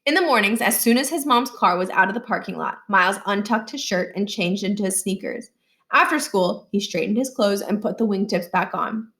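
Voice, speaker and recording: A woman's voice: medium pitch, brisk, fairly bright tone. Woman reading a children's story expressively. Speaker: read-aloud reader.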